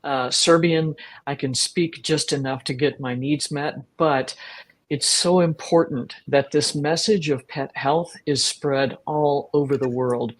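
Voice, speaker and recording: A woman veterinarian hosting a webinar, speaking earnestly with some halting restarts. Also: rumbling low, low-quality recording. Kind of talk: webinar conversation.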